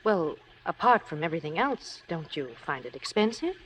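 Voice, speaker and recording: An actress playing a sensible, refined playwright's wife in a 1950s radio drama, with polished theatrical delivery. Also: female voice, on the low side, big pitch swings, moderate pace. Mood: warm, curious. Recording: slightly noisy old radio recording.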